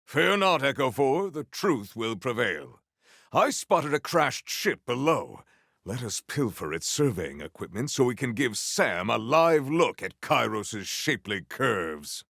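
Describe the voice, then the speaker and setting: A man voicing a proud, battle-hungry video game warrior, speaking in a theatrical, formal, declamatory manner with a gravelly, forceful edge. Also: mid-range voice, sing-song, a little slow, dry recording, studio quality, full character voice. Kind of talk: video game combat barks.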